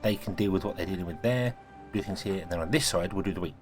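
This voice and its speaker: A man, casually thinking aloud as he plays a building game, his voice a little croaky from a cold. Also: low voice, fast talker, soft background music. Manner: thinking aloud, rambling, self-correcting. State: croaky, sneezy voice. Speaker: gaming video host. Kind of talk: casual let's-play commentary.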